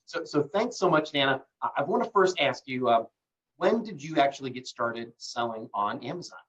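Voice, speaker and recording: A man's voice: medium pitch, moderate pace. A man hosting a live call, chatty with lots of fillers, getting over bronchitis. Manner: relaxed, many fillers, promotional. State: recovering from bronchitis. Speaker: host. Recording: distant muffled lo-fi call audio.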